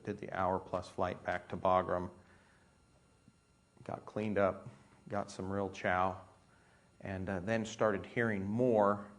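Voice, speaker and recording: An American man, a military pilot, giving an informal storytelling talk in a conversational, halting manner full of asides. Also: sits low, vocal fry.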